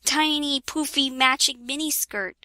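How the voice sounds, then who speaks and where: A woman's voice: sits high, steady tone, unhurried, sharp tone, no echo, clean studio sound. A woman reading fan fiction aloud in a casual, jokey way, giggling and often breaking off to add her own comments. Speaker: reader hosting a comedic fan fiction reading. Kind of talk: casual, jokey read-aloud with ad-libbed asides.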